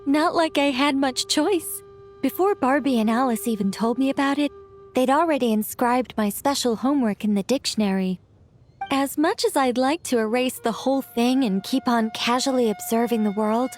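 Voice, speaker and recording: A woman's voice: high voice, natural voice. An actress playing a whimsical, composed female mage in a video game, with a leisurely, musing and playfully evasive manner. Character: whimsical, composed female mage, once an angel, young-sounding. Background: background music.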